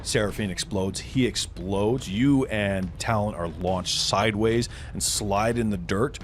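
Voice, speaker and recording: A man's voice: on the low side, measured pace, noisy background. A man running a tabletop game, improvising dramatic, descriptive narration as game master.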